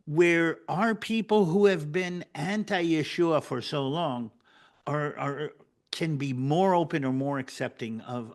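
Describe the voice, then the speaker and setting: A man from Israel, hosting a casual panel discussion and asking earnest, halting questions. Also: on the high side. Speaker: host.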